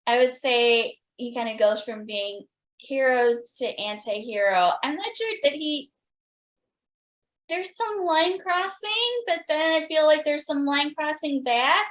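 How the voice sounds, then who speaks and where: Higher-pitched woman hosting a podcast interview. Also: animated, a little slow, fairly bright tone, echoey room.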